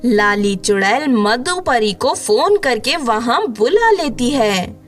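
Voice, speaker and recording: A woman narrating a children's witch story in a steady storybook manner. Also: a little high, takes it slowly, soft background music.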